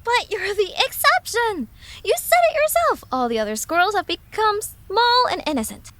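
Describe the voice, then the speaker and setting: Excitable high-pitched little fox girl. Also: normal speed, no echo, full character voice. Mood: indignant. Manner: excitable, argumentative. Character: small earnest, cunning young female fox traveler. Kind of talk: animated audio drama acting.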